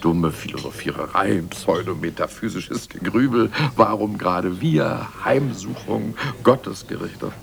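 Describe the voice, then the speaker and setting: An actor playing a complacent, jovial senior scientist in a radio play, chatting in a musing, chummy manner. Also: male voice, low-pitched, a little slow, gravelly, hardly any pauses, exaggerated voice. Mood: dismissive. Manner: musing, self-satisfied, chummy. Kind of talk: conversational radio play acting.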